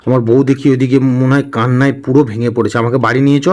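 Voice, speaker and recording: A man's voice: medium pitch, level tone, quick pace, a little mellow, lightly acted. A man voicing a brash, indignant ordinary village husband in a comic cartoon, protesting and insistent. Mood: urgent relief.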